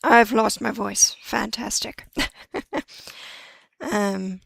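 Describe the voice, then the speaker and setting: A woman's voice: mid-range voice, steady tone, drawn-out words, gravelly, fairly bright tone, breathy edge, dry recording. A woman streaming a 3D modeling session, chatting and muttering to herself as she works through each step. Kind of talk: live-stream tutorial, thinking aloud.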